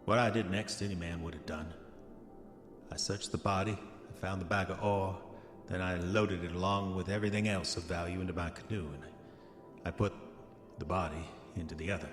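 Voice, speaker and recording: Man playing a brooding prospector, very low voice, old-fashioned measured diction, horror radio play, music underneath. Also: natural voice. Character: haunted, hot-tempered prospector confessing murder in his diary. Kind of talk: horror audio drama monologue. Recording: echoey voice with long reverb, music behind.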